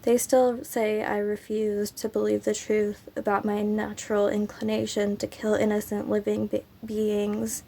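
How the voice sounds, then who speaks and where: A woman with a raspy, under-the-weather voice reads her own poetry at a slow, measured pace. Her tone is gravelly and stays flat. She fills the gaps between poems with easygoing chat. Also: mid-range voice. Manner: slow, even, unhurried delivery with frequent ums. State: sick, raspy throat. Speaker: poetry channel host.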